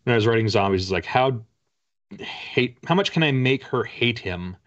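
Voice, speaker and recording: A man's voice: medium pitch, expressive, moderate pace. A middle-aged man from Canada chatting casually as an author being interviewed, with a conversational, self-deprecating humor. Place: book-lined room indoors, video call. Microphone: video call. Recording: slightly dull video-call audio.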